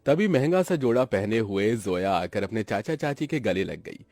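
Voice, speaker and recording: A man's voice: fairly low pitch, expressive, moderate pace, a little mellow, no echo. A man narrating a cartoon family story in a dramatic scripted storytelling style.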